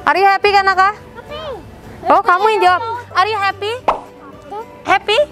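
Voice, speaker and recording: High-voiced playful woman vlogger. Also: level tone, moderate pace, music in the background. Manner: playful, chatty, coaxing her children. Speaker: vlog host. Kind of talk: casual family vlog. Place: outdoor pet cafe garden.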